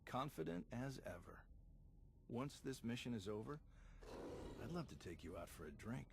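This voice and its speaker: A cocky secret agent in a game cutscene. He has a medium-pitched voice and talks like a playful charmer, smooth and sure of himself. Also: expressive, moderate pace, noisy background. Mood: flirty. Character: flirtatious, roguish male spy, charming and cocky. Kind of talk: video game cutscene acting.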